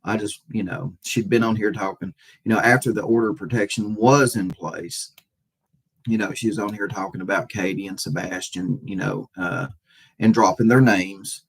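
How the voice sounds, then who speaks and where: A man hosting a casual livestream, talking to viewers in a halting, hesitant way with repeated phrases and a husky voice. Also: lowish voice, steady tone, low-quality recording.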